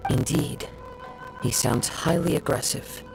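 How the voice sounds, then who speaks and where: A courteous young wandering samurai for a video game. His voice is very creaky yet varied in melody. He speaks calmly, with formal politeness, over some background music. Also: sits high, a little slow, full character voice, poor audio. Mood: thoughtful.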